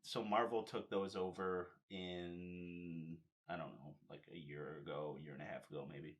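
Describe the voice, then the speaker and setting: A man's voice: deep voice, steady tone, a little slow, dull audio. A man chatting casually about films and comics, explaining things in a loose, step-by-step storytelling way.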